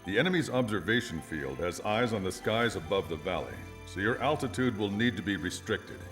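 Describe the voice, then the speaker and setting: An actor playing a stern military officer, delivering a formal, authoritative mission briefing for a video game. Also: male voice, on the low side, lively intonation, a little fast, a bit bright, faint music behind. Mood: formal, resolute.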